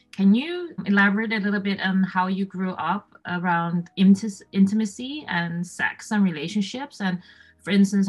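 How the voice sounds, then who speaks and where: A woman co-host asks the guest questions in a casual, conversational way. Her voice sits at a middle pitch, and she keeps backing the guest up with quick "yeah"s. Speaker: podcast co-host.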